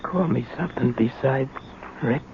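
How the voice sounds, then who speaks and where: Man acting old ex-convict father, gravelly, weak, remorseful, halting slang, radio drama, tinny noisy broadcast. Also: mid-range voice, natural voice. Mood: fading, tender. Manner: slangy, rough-spoken, halting.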